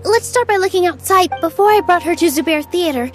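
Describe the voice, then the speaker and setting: Young dancer, very high voice, gentle, polite, game music. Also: female voice, expressive, brisk. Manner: gentle, soft-spoken, polite. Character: young woman dancer, gentle and warm-hearted.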